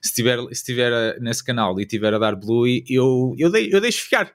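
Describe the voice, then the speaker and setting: Male interviewee, a father, average pitch, European Portuguese accent, casual, hesitant delivery. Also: lively intonation, moderate pace.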